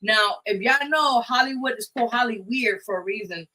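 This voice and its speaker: A woman's voice: a little high, brisk, bright, forceful tone, low-quality recording. A woman hosting a casual live talk show, speaking in an emphatic, blunt way. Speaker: radio show host.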